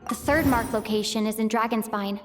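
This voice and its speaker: A woman plays a young female traveler thinking through a mystery step by step, weighing each clue and questioning herself as she goes. Her voice sits a little above the usual female pitch, and faint game music runs quietly underneath. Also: brisk, lightly acted. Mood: thoughtful. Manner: methodical, reasoning aloud, questioning herself. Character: thoughtful young female traveler piecing together clues. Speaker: the traveler in a fan dub. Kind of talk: fan voice acting of a game cutscene, inner monologue.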